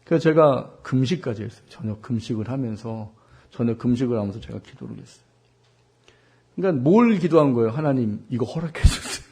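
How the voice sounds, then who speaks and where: A man in his forties from South Korea preaching a sermon as a pastor, with a husky, worn voice, putting questions to his listeners and repeating words for emphasis. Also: mid-range voice, lively intonation, a little fast, mellow. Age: 40s. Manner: rhetorical questions to the congregation, emphatic repetition. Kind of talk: Sunday sermon with personal anecdotes.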